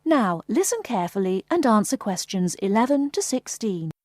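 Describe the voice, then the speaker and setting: Formal woman narrator, lively pitch, dry and a little distant. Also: no room sound. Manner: slow, formal, measured. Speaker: exam narrator. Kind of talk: scripted instructions.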